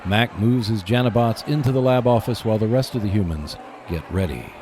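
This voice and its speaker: Guy with a low voice narrating a radio drama, storyteller style, some background noise. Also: brisk, a little mellow. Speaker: host and narrator. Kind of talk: scripted radio play narration.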